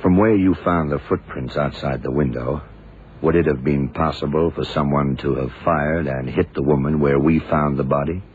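Casting: laconic, deliberate radio-drama detective, bottom-of-the-register voice, dulled vintage sound. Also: male voice, rumbling low, breathy edge. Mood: pondering. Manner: deliberate, probing, questioning. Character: shrewd, laconic male detective puzzling out a murder. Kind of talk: 1960s-70s radio drama acting. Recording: muffled, noisy old radio recording.

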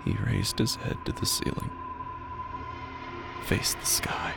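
A narrator reading scripted horror fiction in short, deliberate phrases. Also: over loud music. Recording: faint background noise.

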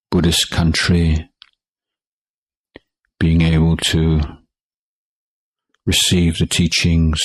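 A man teaching guided meditation in a calm, measured way, leaving long pauses between short phrases. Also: very deep voice, slightly rough, dry recording, poor audio. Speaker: Buddhist monk meditation teacher.

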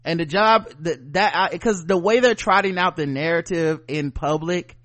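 An American man hosting a podcast, chatting casually and comedically with animated, profane riffing. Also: fairly high pitch, moderate pace, dry recording. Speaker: podcast host.

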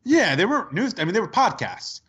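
A man co-hosting a podcast, telling a sports story in casual chat, incredulous and quick to laugh. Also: high-pitched, very animated, a bit bright, slightly rough. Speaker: podcast co-host.

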